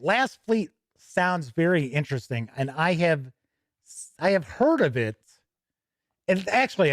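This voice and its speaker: A man's voice: on the high side, no room sound, studio quality. A man hosting a tabletop gaming news stream, reading items aloud casually and adding chatty, informal asides.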